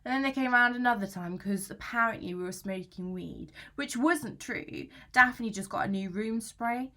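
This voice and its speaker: A woman's voice: on the high side, moderate pace, pushed and bright. Chatty, anxious young British student played by a woman. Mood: scornful, gossipy. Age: young adult. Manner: chatty, slangy, self-justifying. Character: anxious young female student, aggrieved and defensive about housemate. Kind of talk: dramatic confessional monologue, conversational storytelling.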